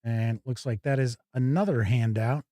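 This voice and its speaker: A man's voice: mid-range voice, fairly even intonation, normal speed, mellow, no room sound. A man hosting a tabletop gaming livestream, chatting casually and informally to viewers as he thinks aloud. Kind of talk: casual live unboxing chat to viewers.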